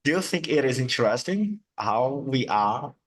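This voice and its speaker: A man whose first language is Portuguese, teaching English and slowly repeating words to model their sounds. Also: medium pitch, big pitch swings. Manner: slow, patient, repeats words to model pronunciation. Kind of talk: online English lesson.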